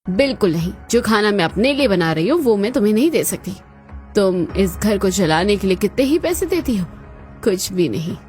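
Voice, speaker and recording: A woman acts out a haughty young sister-in-law in a cartoon family drama. She scolds and gives orders, bossy and spiteful, at a medium pitch with music playing behind her. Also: sing-song, moderate pace, a little mellow, lightly acted. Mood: harsh, commanding.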